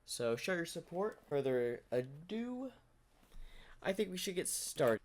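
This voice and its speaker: A man's voice: on the high side, sing-song, slow pace. A man hosting a reaction video, thinking aloud in casual, rambling commentary.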